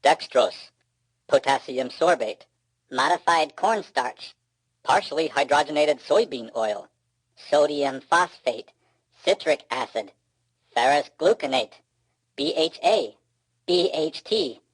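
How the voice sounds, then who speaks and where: A man's voice: a little high, expressive, slow, pauses often, breathy edge, no room sound, pitched above the performer's own voice, exaggerated voice, poor audio. A man voicing a precise, exasperated know-it-all robot in a children's storybook, with a stiff synthetic tone and clipped, pedantic delivery. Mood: reciting.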